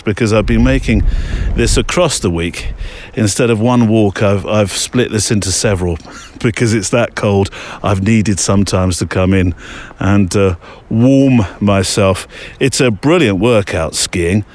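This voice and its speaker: Rambling male podcast host walking outdoors. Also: mid-range voice. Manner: rambling, with frequent ums and restarts. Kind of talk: casual spoken monologue. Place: outdoors in a snowy mountain area.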